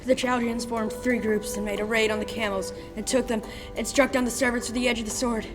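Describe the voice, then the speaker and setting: A woman playing a hurried messenger reporting a raid in a church Bible drama. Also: on the high side, level tone, close to an everyday voice. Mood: urgent news. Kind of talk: church class Bible drama, recited scripture. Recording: reverberant hall, music behind.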